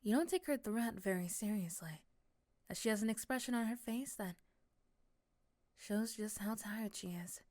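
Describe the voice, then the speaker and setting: A woman softly narrating a scripted second-person ASMR story, hushed and often close to a whisper. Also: mid-range voice, moderate pace.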